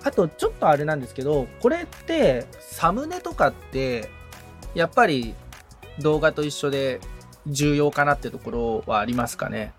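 A man in a casual consultation, asking tentative questions as a beginner and often chiming in with quick acknowledgements. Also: on the high side, very animated, faint music behind.

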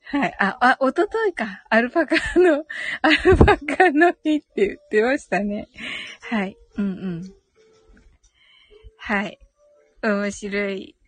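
A woman's voice: on the high side, animated, takes it slowly, pauses often. A woman hosting a casual live audio chat, giggly and chatty, reading out comments and murmuring agreement. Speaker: host of a live audio stream.

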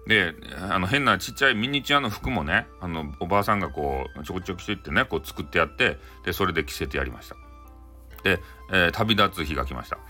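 A man tells a folktale in a casual, playful Hakata accent. His voice is bright and quick, with very lively pitch and a chatty, improvised flow. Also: soft background music. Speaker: storyteller. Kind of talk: casual improvised comic retelling of a bedtime folktale.

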